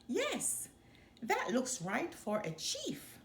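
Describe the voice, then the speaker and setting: A spirited old island grandmother from a storybook played by a woman reader. She is decisive and practical, with an animated, fairly high delivery. The room has audible echo. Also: extremely slow, full character voice. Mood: approving.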